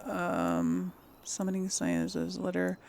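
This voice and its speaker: Woman, lively gameplay commentary, creaky voice, casual chatty delivery, faint game sound behind. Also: fairly low pitch, slow, a little hiss or hum. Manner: chatty, talking to herself and viewers. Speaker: let's play host.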